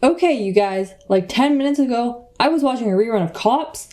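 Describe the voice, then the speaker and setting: A woman's voice: fairly high pitch, lively intonation, normal speed, a little mellow, close to an everyday voice. A woman playing a laid-back, slangy crime reporter who is easily impressed. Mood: excited, laid-back.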